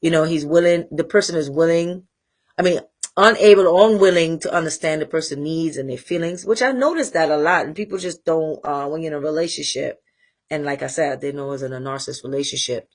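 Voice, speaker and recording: A woman with a Trinidadian accent hosting a live talk show, speaking candidly and rambling with pauses to find her thread. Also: deep voice. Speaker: host. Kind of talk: casual livestream monologue.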